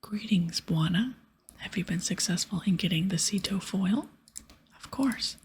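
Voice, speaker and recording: A woman speaking in a soft, breathy whisper close to the mic, giving gentle, casual ASMR gameplay commentary. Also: medium pitch, a little slow. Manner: gentle, hushed, casual rambling. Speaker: ASMR gaming video creator. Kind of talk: ASMR whispered gameplay commentary with quest dialogue read aloud.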